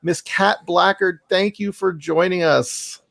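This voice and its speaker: Gravelly, effusive male podcast host. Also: sits high, fairly even intonation, slow pace, fairly bright tone, no echo. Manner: effusive, rambling, self-deprecating. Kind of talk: casual conversational interview.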